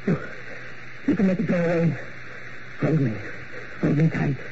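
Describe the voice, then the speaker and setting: A man playing an unhinged young male ballet dancer in a 1940s radio drama, speaking softly and dreamily. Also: on the high side, normal speed, creaky. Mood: haunted. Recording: muffled old radio broadcast with hiss.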